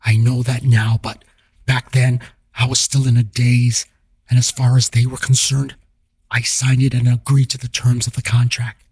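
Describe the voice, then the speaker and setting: A man's voice: medium pitch, flat delivery, moderate pace, a little mellow, slightly rough, dry recording. A man telling a scripted first-person story as the narrator of an audio drama. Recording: music bed behind voice.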